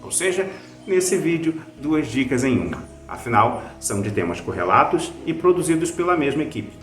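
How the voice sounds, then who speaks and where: A man's voice: a little high, very animated, moderate pace, a bit bright, reverberant, faint music behind. A Brazilian man hosting a recommendation video in a conversational, scripted review style. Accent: Brazilian Portuguese. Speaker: video host recommending podcasts.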